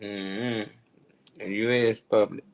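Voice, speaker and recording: A man's voice: fairly low pitch, steady tone, slow pace. A man chatting casually on a talk show, giving off-the-cuff motivational advice in colloquial, sometimes profane language. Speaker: show panelist.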